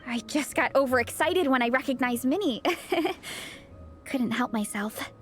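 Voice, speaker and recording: A woman voicing a cheerful, excitable young fan-club captain in a video game, bubbly and friendly. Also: high-pitched, unhurried, over loud music. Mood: sheepish.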